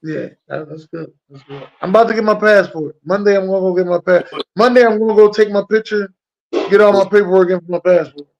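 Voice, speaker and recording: A man with an American accent and a fairly high voice chats as an interviewer. His delivery is laid-back and slangy, with repeated phrases and plenty of gravel. The audio is dull and lo-fi. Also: a little fast. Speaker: podcast host interviewing a guest. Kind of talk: casual interview chat.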